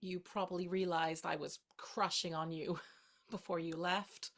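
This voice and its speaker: An actress playing a playful, tender young woman in a play reading, gently teasing as she courts her friend. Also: on the low side, fairly even intonation, a little slow. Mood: shy, tender. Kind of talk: two-hander stage play reading.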